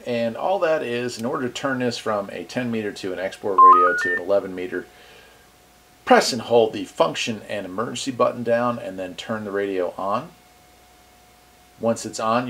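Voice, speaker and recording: A man's voice: fairly low pitch, fairly bright tone, slightly rough. A man hosting a casual product walkthrough, easygoing and thinking aloud as he goes. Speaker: radio reviewer, channel host.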